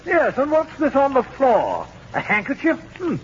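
A muffled, hissy old radio show carries an irritable king. His high-pitched voice swoops about as he scolds and gives imperious orders, full of bluster and suspicion. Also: huge pitch swings, normal speed, heavily acted. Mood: suspicious. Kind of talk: 1940s radio comedy acting. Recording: dull, noisy 1940s radio broadcast.

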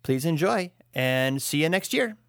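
A man's voice: fairly high pitch, expressive, unhurried, gravelly, fairly bright tone, clear, resonant tone, dry recording. A man hosting his own show, giving a casual spoken introduction in a close, dry recording. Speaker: show host and comedy creator.

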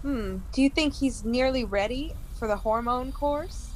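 A woman playing a soft-hearted, tender female lab technician in an old-time radio science-fiction drama. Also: sits high, measured pace, a little hiss or hum, rough recording. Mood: tender, attentive.

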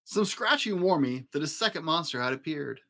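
A man narrating a children's picture book in an expressive storytelling style. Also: fairly high pitch, fairly quick. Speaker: storybook reader.

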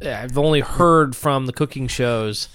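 A man's voice: mid-range voice, measured pace, fairly bright tone, smooth, no room sound. A young man co-hosting a podcast, chatting in a laid-back, thoughtful way. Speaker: podcast co-host. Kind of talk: casual chat.

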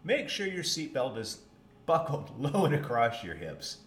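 Male podcast host, folksy and conversational, lively pitch swings, echoey room. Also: unhurried. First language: English. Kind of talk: conversational podcast introduction and interview. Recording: roomy echo with background noise.

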